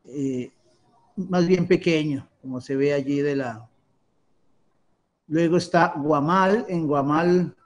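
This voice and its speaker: A middle-aged man, a priest, explains a map in a halting way with many restarts. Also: fairly high pitch. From Colombia.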